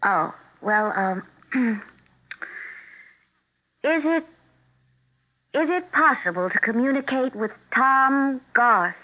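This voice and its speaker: A woman's voice: high voice, lively intonation, slow, pauses often. An actress playing a nervous, hesitant, easily frightened woman in a 1970s radio drama. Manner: hesitant, anxious. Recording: muffled, narrow-band 1970s radio broadcast.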